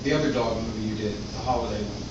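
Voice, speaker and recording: A man's voice: lowish voice, fast talker, over a noisy crowd. Distant hesitant man asking questions. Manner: hesitant, repeats words. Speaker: interviewer. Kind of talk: casual interview questions. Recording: distant, very echoey, muffled, noisy room.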